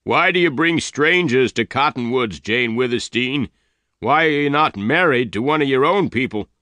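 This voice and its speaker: A man voicing a fat, gray-haired, angry male leader who talks loudly and demandingly. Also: mid-range voice, lively intonation, moderate pace, no room sound, voice pushed up. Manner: loud, demanding, questioning.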